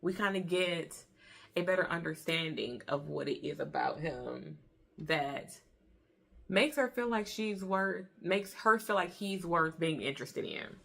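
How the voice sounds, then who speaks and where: A woman's voice: lowish voice, lively intonation, unhurried, creaky, fairly bright tone. Chatty American woman in her thirties. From Detroit, Michigan, USA. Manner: chatty, informal, emphatic repetition. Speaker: book review vlogger. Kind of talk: casual conversational book review.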